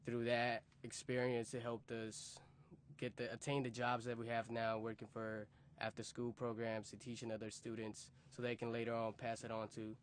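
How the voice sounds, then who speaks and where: A man's voice: mid-range voice, smooth. A man in his early twenties, a music program leader, recalling his story earnestly in an interview and repeating words as he goes. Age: 20s. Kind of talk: recorded interview recollection. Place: museum interview room.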